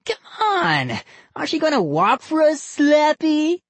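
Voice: sits high, big pitch swings, unhurried, fairly bright tone, dry recording, voice pushed up, exaggerated voice. A narrator voicing a smug, giggly prankster boy in an audiobook. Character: boastful prankster boy Vinny.

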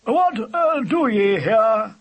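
A man voicing a cultured, gruff gentleman badger in a storybook, with grunting, stately, old-fashioned speech. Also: extremely high pitch, big pitch swings, takes it slowly, voice pushed up, exaggerated voice. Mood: challenging.

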